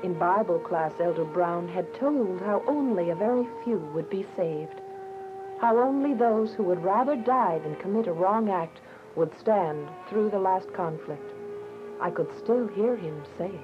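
A woman's voice: medium pitch, expressive, measured pace, natural voice. A woman playing a devout, earnest young believer, narrating with emotion in a radio drama. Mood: grave, hurt. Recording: muffled audio with music bed.

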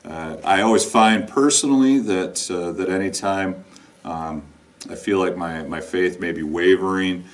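Male pastor, middle-aged, low voice, halting informal sermon delivery, miked from a distance. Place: indoor lounge with couch and brick wall. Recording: distant microphone in a room.

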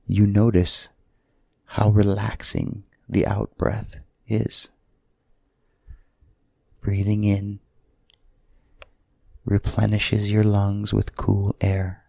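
A man leading a guided sleep meditation in a soothing, gentle manner, leaving long pauses between short phrases. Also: fairly low pitch, monotone, vocal fry, no room sound.

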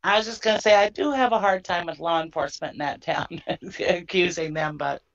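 A woman's voice: on the low side, brisk, a little hiss or hum. Hesitant woman on a dull line. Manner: hesitant, laughs nervously. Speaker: panelist.

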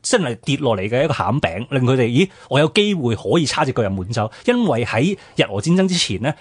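Fast, animated Hong Kong man explaining history. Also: mid-range voice, slightly rough, dry recording, studio quality. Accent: Hong Kong Cantonese. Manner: informal explainer, chatty asides. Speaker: radio co-host.

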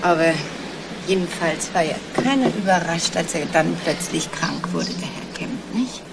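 A woman's voice: lowish voice, animated, unhurried, gravelly. Gossipy, insinuating neighbour woman, noisy recording. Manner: chatty, insinuating, tags remarks with "nicht?". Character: gossipy, nosy neighbour woman, feigning discretion. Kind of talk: 1970s German radio crime drama acting. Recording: noisy old radio play, vehicle ambience.

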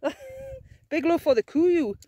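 A woman's voice: high-pitched, lively intonation, unhurried, dark tone. A woman, chatting and teasing as she films her camping trip on open moorland with a handheld phone. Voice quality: gruff. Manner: chatty, teasing, laughs often. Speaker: outdoor vlogger. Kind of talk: casual handheld camping vlog. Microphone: phone camera held by the speaker.

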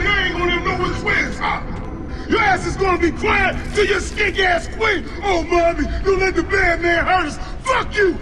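Shouting, swaggering soldier. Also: male voice, very high-pitched. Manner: boisterous, swaggering, hollering. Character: brash, trash-talking, hot-blooded male soldier. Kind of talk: video game voice acting. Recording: loud music and battle noise behind.

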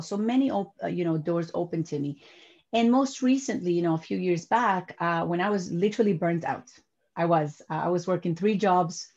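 Guest, woman in her 40s, lively and repeats words as she talks, muffled video-call sound. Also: on the low side. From Peru. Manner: animated, repeats words while building sentences. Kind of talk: interview over video call. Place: home office. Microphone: webcam on video call. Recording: dull lo-fi video call audio.

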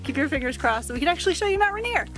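A woman's voice: sits high, sing-song, very fast, loud music behind the voice. A woman in her forties hosting a casual travel vlog outdoors in a mountain park, chatty and upbeat, laughing often.

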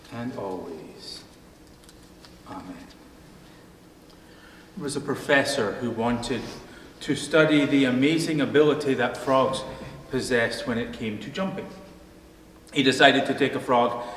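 A middle-aged man preaching a sermon from a pulpit, calm and measured, telling a story with dry humor. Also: mid-range voice, a little hiss or hum. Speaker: pastor. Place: church sanctuary, behind a pulpit. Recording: distant, echoey church hall.

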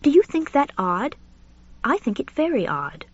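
A fussy, courtly older gentleman scholar acted by a woman, speaking in a high, lilting, questioning audiobook voice. His genteel phrases swoop upward, on a dry, slightly dull recording. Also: sing-song, unhurried, no room sound. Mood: fussy, incredulous. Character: courtly, fussy older male classics tutor, airily puzzled. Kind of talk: audiobook character voice.

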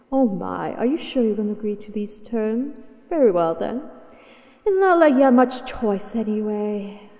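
A woman voicing a possessive female dragon in a roleplay audio, doting yet menacing, with slow, deliberate delivery. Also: medium pitch, dark tone. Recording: long echoing reverb.

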